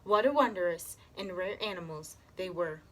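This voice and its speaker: A woman's voice: on the low side, normal speed, low-quality recording. A woman reading a children's story aloud expressively, as a teacher does. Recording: clear background noise.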